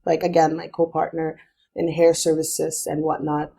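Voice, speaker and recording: A woman's voice: low-pitched, monotone, normal speed, creaky, warm tone, slightly rough. A young adult woman and business founder, a podcast guest, explaining things step by step in a thoughtful, casual way. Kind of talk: casual interview.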